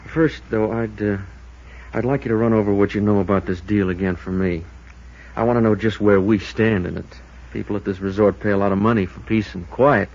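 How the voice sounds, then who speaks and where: An American man acting a cautious, folksy deputy sheriff with a measured, plain-spoken, probing manner, in 1950s radio drama style. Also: lowish voice, steady tone, darkish tone. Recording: dull, noisy vintage radio recording.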